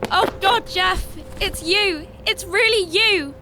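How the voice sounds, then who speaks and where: A woman's voice: extremely high pitch, slow, background noise, exaggerated voice. A woman playing an overwhelmed, emotional heroine in a radio drama, exclaiming breathlessly. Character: overwhelmed, emotional woman reunited with loved one. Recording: music bed behind the voice.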